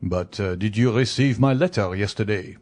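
A man's voice: lowish voice, normal speed, darkish tone, lightly acted. A man voicing a courteous, formal male official in an audiobook. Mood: formal, insistent. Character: formal, polite male official collecting for charity. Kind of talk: audiobook character voice.

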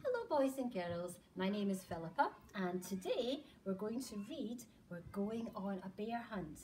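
A woman in her thirties reading a picture book to young children in an expressive, exaggerated way with drawn-out words. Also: medium pitch, a little hiss or hum. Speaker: children's storyteller, early years educator. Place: indoor nursery classroom. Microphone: camera or phone a few metres away.

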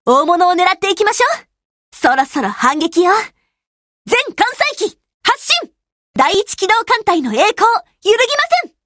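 A woman's voice: extremely high pitch, animated, normal speed, a bit bright, no room sound, studio quality. A woman voicing a cheerful, earnest young warship girl with a girlish, perky, sweetly familiar manner in short Japanese game lines. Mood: rousing, determined.

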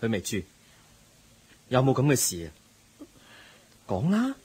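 A measured, polite school counselor speaks in a husky voice with lively pitch. He is calm and firm, a radio drama role. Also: heavily acted. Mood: questioning. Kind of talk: 1980s radio drama acting.

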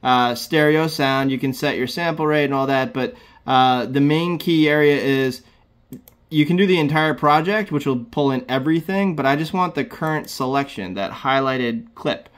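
A man in his thirties hosting a casual step-by-step computer tutorial, talking through each click in a relaxed, plain way. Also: medium pitch, moderate pace. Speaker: tutorial host.

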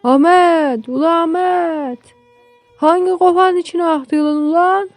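Woman acting out a brash, high-voiced peasant father. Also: moderate pace, a little mellow, no echo, soft background music, close to an everyday voice. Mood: urgent, calling. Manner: rustic, blunt, calling out. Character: brash, teasing peasant father, hearty and bossy.

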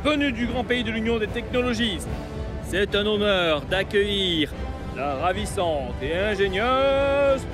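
A man's voice: sits high, lively intonation, over music. A man playing a pompous older town mayor in a cartoon, announcing in a grandiose, theatrical way. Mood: grandiose, ceremonial. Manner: grandiose, drawn-out announcer delivery.

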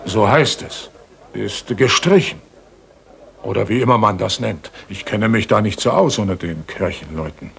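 An actor playing a deferential, gossipy but honest village innkeeper in a radio play, talking politely and a little hesitantly. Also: male voice, on the low side, expressive, normal speed, creaky. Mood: confiding, wary. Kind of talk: German radio play acting, 1980s. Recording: 1980s radio play with audible noise.

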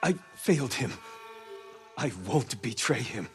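Slow, distraught, guilt-stricken man played by an actor. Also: mid-range voice, expressive, faint music behind, close to an everyday voice. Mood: remorseful, defiant. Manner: halting, distraught. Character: guilt-stricken, loyal man pleading after a killing. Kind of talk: dramatic video game acting.

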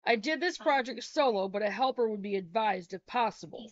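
A woman's voice: fairly high pitch, lively intonation, a little fast, no room sound. A middle-aged woman, a homesteading vlogger, reads a practical how-to narration in a small wood-paneled room, with small self-corrections. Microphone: laptop webcam. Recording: dull, lo-fi webcam sound.

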